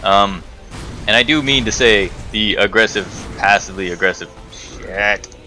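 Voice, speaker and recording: A man with a fairly low, bright and forceful voice tells a casual story while gaming. He rambles and backtracks, breaking off to curse at the game, with music faintly behind him. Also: a little slow, rough recording. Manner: rambling, self-correcting, muttered swearing at the game. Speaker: gaming video host telling stories while playing. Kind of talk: casual storytime commentary over gameplay.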